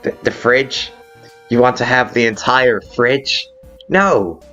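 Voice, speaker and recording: A man's voice: mid-range voice, takes it slowly, pushed and bright. A man voicing a fierce, brash female fish-warrior monster in a video game fan dub, blustering and sarcastic. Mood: strained hospitality. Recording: muffled lo-fi audio with background music.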